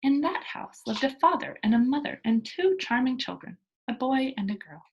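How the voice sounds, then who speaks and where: A woman reading a children's story aloud as narrator, in a deliberate, expressive storytelling manner. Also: high voice, muffled recording, slight background noise.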